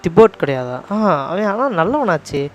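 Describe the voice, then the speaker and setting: A man's voice: sits high, big pitch swings, racing. A man with a Tamil accent giving casual live commentary as a game streamer. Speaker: game livestreamer.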